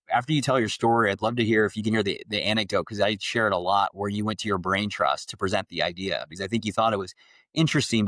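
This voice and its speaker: A man's voice: fairly low pitch, a bit bright, no echo. A man hosting a podcast as an investor, explaining at length in a fluent way with some fillers. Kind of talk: casual interview talk.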